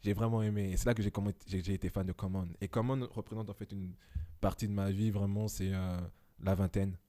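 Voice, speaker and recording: Guy in his 20s, low dark voice, chatting at home, lots of um and pauses. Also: flat delivery. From Belgium. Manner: reflective, many hesitations. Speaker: guest. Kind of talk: casual podcast conversation.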